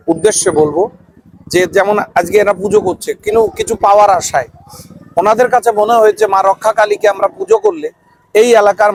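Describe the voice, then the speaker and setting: High-pitched man, halting phrases, dry sound. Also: no room sound. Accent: Indian Bengali. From West Bengal, India. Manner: halting, speaking in short broken phrases. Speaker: local guest speaker. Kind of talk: impromptu interview speech.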